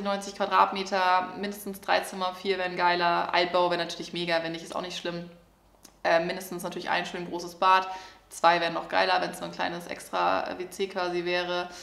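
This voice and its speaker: A woman in her twenties from northern Germany, chatting excitedly with many fillers in a casual vlog. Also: on the low side, vocal fry, echoey room. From Germany, Lower Saxony near Hamburg. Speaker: vlogger. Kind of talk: casual life update vlog. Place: apartment room.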